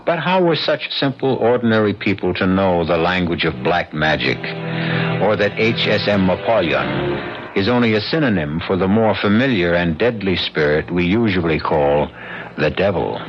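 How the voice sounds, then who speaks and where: An actor playing a sardonic, ominous host of a mystery radio drama, narrating in a deliberate theatrical manner. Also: male voice, sits low, expressive. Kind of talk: dramatic scripted old-time radio narration. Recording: muffled old radio broadcast. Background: music.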